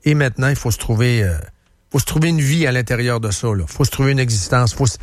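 A man's voice: mid-range voice, fast talker, no room sound. A man with a Quebec French accent hosting a radio show in a studio, talking in a chatty, emphatic way and repeating himself. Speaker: radio host. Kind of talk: casual radio talk.

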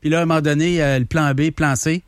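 A radio host with a Quebec French accent talks loosely with his co-host and callers, scattering fillers through his lines. His voice is a bit high and sits dry and close in the studio. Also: steady tone, fast, no echo. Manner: loose, chatty, fillers and many "là". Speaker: radio talk show host. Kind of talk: casual talk radio banter.

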